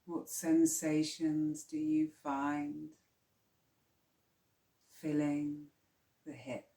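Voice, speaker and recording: A woman teaching yoga nidra, guiding relaxation in a calm, soothing, hushed way with long pauses. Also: low-pitched, echoey room. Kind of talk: slow guided relaxation meditation.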